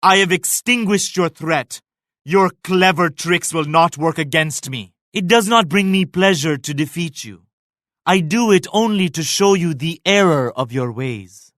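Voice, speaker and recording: An actor playing a proud, pious Mughal emperor in a video game, making grave, measured and lofty pronouncements. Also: male voice, fairly high pitch, fairly bright tone, dry recording, clean studio sound. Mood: grave reproach. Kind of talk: video game character voice lines, formal declamation.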